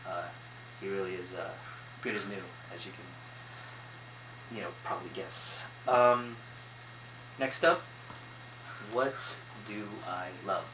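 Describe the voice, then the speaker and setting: A young man from America talking casually to camera in his bedroom, hesitant and full of ums and uhs. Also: fairly low pitch. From United States. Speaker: vlogger. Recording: echoey room, noisy background.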